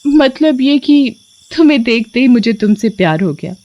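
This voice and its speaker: Woman doing a witch, high and coaxing, cruel underneath, storybook horror. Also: lively intonation, normal speed, darkish tone, lo-fi sound, natural voice. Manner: coaxing, then menacing. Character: seductive female witch, cruel and bloodthirsty.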